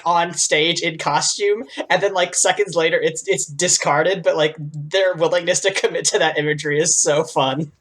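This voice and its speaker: A woman co-hosting a casual pop culture podcast, speaking in a slangy way with frequent "like" and swearing. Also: fairly low pitch. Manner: slangy, swears freely, says "like" constantly. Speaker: podcast co-host.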